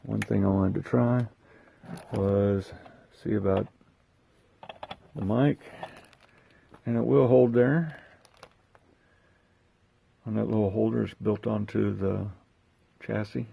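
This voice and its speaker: Male demo host, low relaxed voice with fry, casual and unhurried, slight background noise. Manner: unhurried, thinking aloud while handling gear. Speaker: host of a gear unboxing and testing video. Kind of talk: casual hands-on demonstration.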